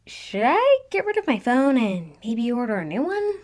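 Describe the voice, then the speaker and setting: A woman puts on a teenage girl with a high voice. She is chatty, eager and earnest, a grateful young trainer from a game-based storybook reading. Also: very animated, normal speed, darkish tone. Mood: apologetic.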